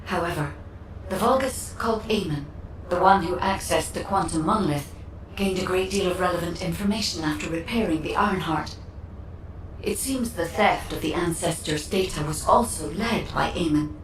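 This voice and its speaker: A woman's voice: on the low side, lightly acted. Woman playing a composed AI guide, roomy sound. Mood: composed, informative. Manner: formal, measured, matter-of-fact. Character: composed female AI guide, precise and haughty. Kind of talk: video game scripted dialogue. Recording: roomy, distant, faint noise.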